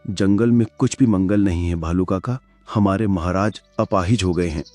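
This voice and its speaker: A man's voice: sits low, moderate pace, a little mellow, faint music behind, lightly acted. A man voicing a loyal elephant minister. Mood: respectful. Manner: respectful, worried. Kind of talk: cartoon voice acting.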